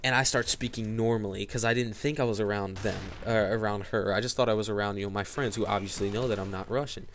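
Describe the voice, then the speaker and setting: A young man speaks in a somewhat low voice, chatting straight to his audience like a casual vlogger. He rambles, restarts sentences and fills gaps with "you know" and "uh". A faint background noise sits under his voice. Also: steady tone, fast, hardly any pauses, a bit bright. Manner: rambling, with frequent fillers and restarts. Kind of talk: casual storytelling to camera.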